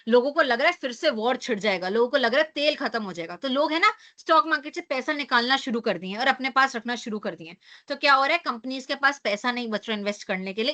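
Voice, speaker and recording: A young woman teaching an online class, hoarse from losing her voice, who explains with rhetorical questions and a frequent tag question. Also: a little high, pushed and bright.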